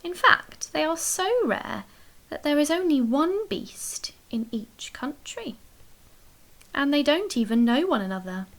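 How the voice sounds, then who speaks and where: A woman reading a children's picture book aloud as a storyteller, expressively, with dramatic emphasis and hushed, breathy dips. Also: sits high, big pitch swings, unhurried, rough recording. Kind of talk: expressive read-aloud bedtime story narration.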